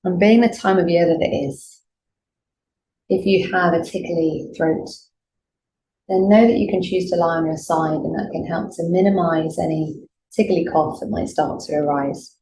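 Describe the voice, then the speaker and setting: Low-pitched woman guiding a meditation. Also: fairly even intonation, rough recording. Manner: gentle, soothing, unhurried instruction with pauses. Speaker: yoga nidra teacher. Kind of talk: guided meditation. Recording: clear background noise, room ambience.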